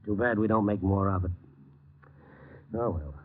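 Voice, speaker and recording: An actor playing a world-weary, compassionate reporter, narrating an old radio noir drama. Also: male voice, lowish voice, moderate pace, narrow, tinny audio, close to an everyday voice. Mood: wistful, wry.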